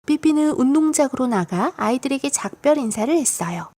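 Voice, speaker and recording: Female children's audiobook narrator: high, very expressive intonation, slightly breathy-husky texture. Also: brisk. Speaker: storybook narrator.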